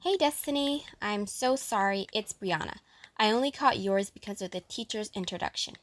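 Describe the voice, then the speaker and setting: A woman's voice: mid-range voice, moderate pace, natural voice. A woman playing a friendly, curious teenage girl in an amateur audio drama, chatty and eager. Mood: cheerful.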